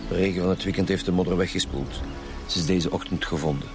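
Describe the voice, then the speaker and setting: Low-voiced, grumpy Flemish police chief played by a man. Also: fairly even intonation, fast, close to an everyday voice. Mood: businesslike. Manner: dry, curt, commanding. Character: weary, grumpy male police chief inspector. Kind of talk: naturalistic radio crime drama acting. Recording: music under the dialogue.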